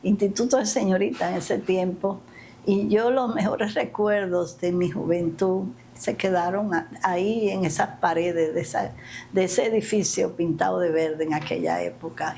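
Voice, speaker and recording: A woman's voice: medium pitch, moderate pace, gravelly. An older woman with a Dominican accent, speaking conversationally as an interview guest and telling her recollections emphatically. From Dominican Republic, Santiago and the capital. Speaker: interview guest, community leader. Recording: steady ambient background noise.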